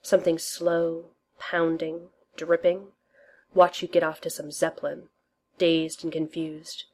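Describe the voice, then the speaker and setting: A woman's voice: flat delivery, takes it slowly, a little mellow. A woman voicing a cocky, teasing male inventor in a fan fiction reading, murmuring and breezy by turns. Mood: seductive whisper. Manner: low murmured whisper, then breezy and cheerful.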